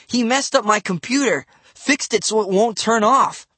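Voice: medium pitch, slow pace, no echo. A narrator voicing an angry young boy who snaps and demands in a storybook. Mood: annoyed. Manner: snapping, shouting, demanding. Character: exasperated, hot-tempered young boy, usually calm brother.

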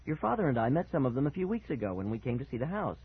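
A man voicing a brisk, sensible, good-natured mother in a storybook reading, on narrow, tinny audio. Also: mid-range voice, very animated, slightly rough, exaggerated voice. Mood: pleasant.

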